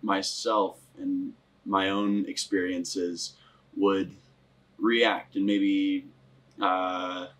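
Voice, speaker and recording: A man's voice: low-pitched, little pitch movement, extremely slow, fairly bright tone, low-quality recording. A young man from Michigan speaking earnestly, searching for his words as he explains himself. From Grand Rapids, Michigan, US. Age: young adult. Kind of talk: casual sit-down interview. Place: wood-paneled cabin living room.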